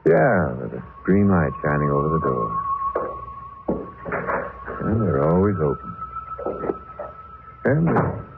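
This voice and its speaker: A man's voice: rumbling low, wildly swooping pitch, takes it slowly, vocal fry, pauses often, over music, full character voice. An actor playing a tormented, guilt-ridden husband who killed his wife, in melodramatic old-time radio thriller style. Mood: dazed, brooding. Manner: melodramatic, haunted. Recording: noisy muffled old radio recording.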